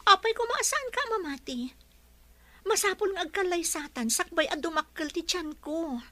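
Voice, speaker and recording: An actress with an Ilocano accent playing a pregnant, commanding young mistress of a household in a radio drama. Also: female voice, very high-pitched, expressive, normal speed, sharp tone, dry recording, heavily acted. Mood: insistent. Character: pregnant woman, sharp and commanding to household help.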